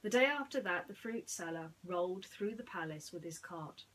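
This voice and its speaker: A woman speaking in an earnest, slightly halting way, as a storyteller chatting and reading to children. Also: fairly low pitch, expressive, a bit bright, a little hiss or hum, low-quality recording. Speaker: storyteller reading bedtime stories.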